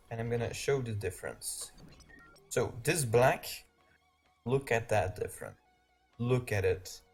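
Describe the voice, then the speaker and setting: A man's voice: lowish voice, a little mellow, husky. A man from Belgium with a Belgian Dutch accent, a Dutch native speaker, hosting a game-tutorial live stream in loose, rambling chat and laughing often.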